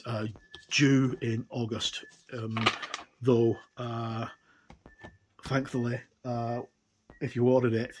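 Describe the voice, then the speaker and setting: A man giving a casual, unscripted product walkthrough as a video reviewer, talking in a halting, conversational way. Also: mid-range voice, a little mellow, slight background noise, poor audio. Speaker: game reviewer and video presenter.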